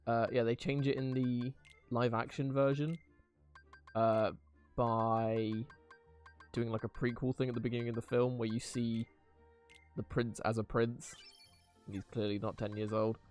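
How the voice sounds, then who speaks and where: A man's voice: mid-range voice, level tone, measured pace, pauses often, a little mellow. A young man giving casual live gameplay commentary, rambling hesitantly in an amused way. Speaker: game streamer. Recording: game music behind voice.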